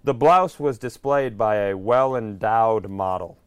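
A man with a clean, smooth voice in a very dry recording, riffing casually as a podcast host. He talks in a sardonic, crude comic manner. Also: on the low side, measured pace, no room sound.

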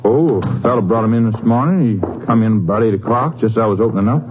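An actor playing a folksy, evasive country feed dealer in a 1950s radio western, with a hedging, drawn-out drawl. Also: male voice, fairly low pitch, close to an everyday voice. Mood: evasive, hesitant. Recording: tinny, noisy old radio recording, hum.

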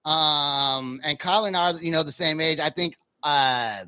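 A man's voice: a little high, moderate pace, sharp tone, no echo. A man in his forties hosting a podcast in a casual, chatty style. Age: 40s. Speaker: podcast host. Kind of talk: casual chat.

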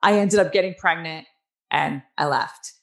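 A middle-aged woman with a New Jersey accent and a raspy, nasal voice, earnestly telling her own story as an interview guest. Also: sits low, normal speed. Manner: earnest, emphatic, with many fillers. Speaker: guest author.

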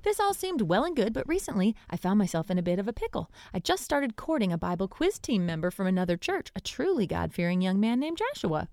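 A woman reading a letter aloud as a devout, earnest homeschooled teenage girl, chirpy and quietly proud. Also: mid-range voice, big pitch swings, a little fast, hardly any pauses, no room sound, clean studio sound.

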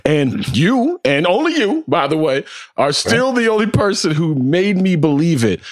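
A man's voice: on the high side, big pitch swings, normal speed, dry recording, studio quality. A man hosting a casual sports podcast, riffing in a slangy, profane, conversational way. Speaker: podcast host.

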